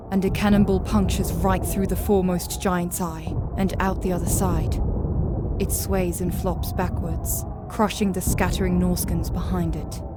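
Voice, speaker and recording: Low, even-toned woman narrator over music. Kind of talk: scripted dramatic storytelling in second person.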